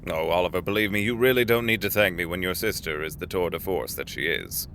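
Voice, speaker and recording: An actor playing a courteous middle-aged executive, avuncular and formal, with genteel, deliberate phrasing in an audio drama. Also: male voice, sits low, a bit bright, slight background noise. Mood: warm, pleased.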